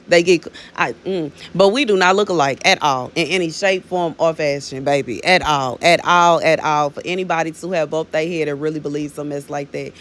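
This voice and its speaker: A woman's voice: low voice, normal speed, hardly any pauses. Woman in her thirties, rambling spiritual talk. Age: 30s. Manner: rambling, fills with "you know what I'm saying", calls viewers "baby". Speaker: minister sharing prophetic words. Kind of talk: casual spiritual talk to viewers.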